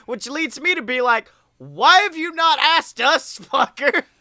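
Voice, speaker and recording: A young man with a high, bright voice hosts a casual comedy podcast. He talks in an animated, joking way and swears freely. The sound is close and dry. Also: measured pace, no echo. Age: young adult. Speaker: podcast co-host. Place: bedroom-like room at home, video call.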